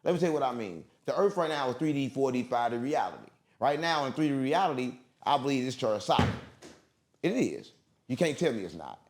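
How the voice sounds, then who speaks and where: A man's voice: mid-range voice, sing-song, a little fast, slight background noise. A man being interviewed, talking in a rambling, emphatic, profane way and repeating himself. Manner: emphatic, repetitive, street slang, profane. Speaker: interview guest. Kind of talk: rambling conspiratorial monologue.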